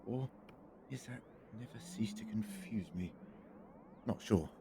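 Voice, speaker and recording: A man's voice: fairly low pitch, measured pace, background noise, lightly acted. A man playing a whimsical, worldly alien time traveller in an audio drama, musing eloquently and playfully.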